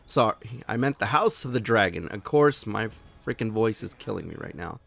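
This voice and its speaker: A man hosting his own review show, talking casually and excitedly while a little hoarse from losing his voice. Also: on the low side, normal speed. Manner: enthusiastic, animated, swears casually.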